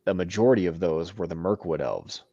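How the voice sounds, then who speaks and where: A man's voice: low-pitched, a little fast, mellow, no echo, dull audio. A man co-hosting a casual book discussion, passionate and given to playful mock outrage. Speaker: co-host.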